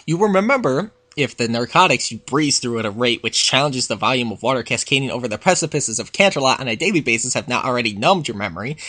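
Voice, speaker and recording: A man's voice: medium pitch, bright, forceful tone. A man voicing a haughty, exasperated royal pony princess in a dramatic fan fiction reading, with pompous, scathing, formal diction. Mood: wistful.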